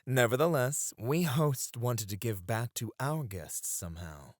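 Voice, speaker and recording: A man's voice: medium pitch, expressive, measured pace, dry recording, studio quality. A man acting as a flirtatious, devoted bunny club host in an ASMR roleplay, with a teasing, courtly, eager-to-please manner. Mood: courteous, explaining.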